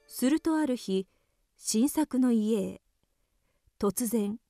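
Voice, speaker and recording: A woman's voice: a little high, lively intonation, slow pace, mellow, dry recording, clean studio sound, faint music behind. A woman narrating a radio drama from a script.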